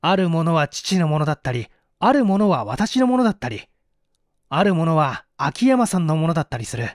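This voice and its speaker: A man's voice: fairly high pitch, very animated, fairly quick, dry recording, studio quality. A man playing a cool, politely needling young man in a mystery story reading, articulate and pointed. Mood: pressing, ironic.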